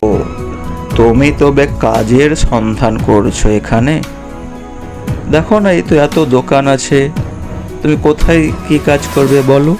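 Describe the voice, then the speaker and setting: A man's voice: medium pitch, slow, pauses often, music in the background. A man playing a practical, obliging market shop owner who gives directions in a children's cartoon story. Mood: obliging, instructing.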